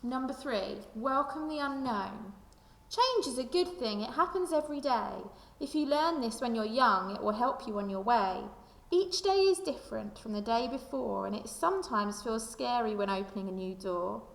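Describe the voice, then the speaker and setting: A woman reading a children's picture book aloud like a primary school teacher, with expressive, deliberate storytelling delivery. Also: fairly high pitch, gravelly. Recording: echoey room with long reverb.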